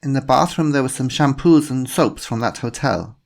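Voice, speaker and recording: A man's voice: medium pitch, normal speed, gravelly, pitched above the performer's own voice, close to an everyday voice. A man voicing a female police inspector with a slight German accent, politely persistent in her questions. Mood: probing.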